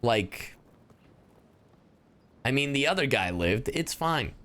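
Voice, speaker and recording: An excitable male streamer gives casual live commentary on a game. His medium-pitched voice jumps around with lots of expression, and he talks to chat in slangy, sweary bursts. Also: slow. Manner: excitable, slangy, foul-mouthed. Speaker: livestreamer.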